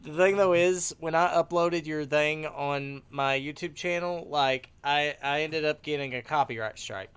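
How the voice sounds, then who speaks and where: A man hosting a live stream in casual chat, excitable and quick to laugh, often trailing off and restarting his sentences. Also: a little high, smooth. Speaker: stream host.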